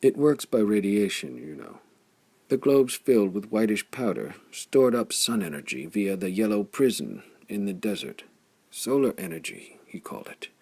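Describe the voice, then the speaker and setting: A man's voice: fairly low pitch, animated, darkish tone, slightly rough. A man doing a weary old warrior. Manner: slow, heavy-hearted.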